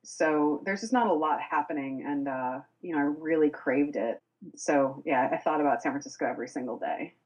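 A woman's voice: sits low, normal speed, darkish tone, dull audio. A young woman from Boston, a music blogger, chatting casually as a podcast guest with lots of fillers and restarts. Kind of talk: casual interview chat.

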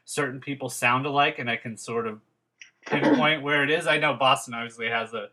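A man's voice: mid-range voice, steady tone, a little fast, pushed and bright. A young man hosting a podcast, chatting casually and rambling with many fillers and restarts. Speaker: podcast host. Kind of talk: casual interview chat.

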